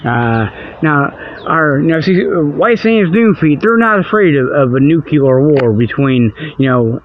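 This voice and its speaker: A man's voice: fairly high pitch, very animated, unhurried, a little hiss or hum. A middle-aged American man hosting a comic show, rambling off the cuff in a folksy, repetitive, colloquial way. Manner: folksy, colloquial, repeats himself, loses his thread. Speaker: host of a comedic radio-style show. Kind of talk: rambling, improvised comic monologue. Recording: dull lo-fi radio-like sound.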